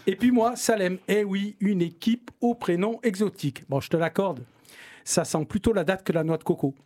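Playful higher-voiced French show host. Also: male voice, normal speed, darkish tone. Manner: playful, teasing, with frequent fillers. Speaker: podcast host. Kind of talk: hosting a comedy talk show.

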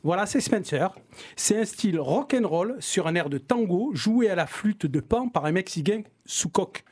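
Playful higher-voiced French show host. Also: male voice, animated, normal speed, a little mellow, slightly rough. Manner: playful, teasing, with frequent fillers. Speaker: podcast host. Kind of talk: hosting a comedy talk show.

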